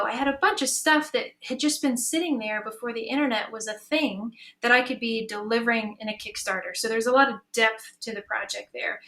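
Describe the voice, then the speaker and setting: Woman, high bright voice, casual panel talk, earnest, encouraging. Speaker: panelist, audio drama creator.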